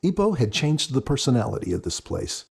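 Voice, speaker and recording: A man reading scripted first-person audiobook narration in a measured storytelling manner. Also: mid-range voice, big pitch swings, vocal fry, breathy edge. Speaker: author narrating his audiobook.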